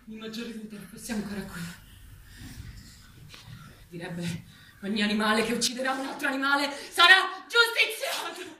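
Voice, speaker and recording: An actress playing a fervent farm-animal revolutionary leader in a stage play, declamatory and emphatic. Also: female voice, sits high, pushed and bright, full character voice. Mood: solemn. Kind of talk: theatrical stage acting. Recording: echoey theatre, distant mic, background noise.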